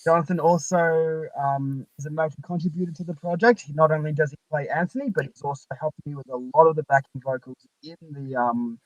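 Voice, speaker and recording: A young man hosting a casual live stream chat in a halting, hesitant way. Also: on the high side, fairly even intonation, muffled recording. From Australia. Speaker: live stream host and fan-dub project leader.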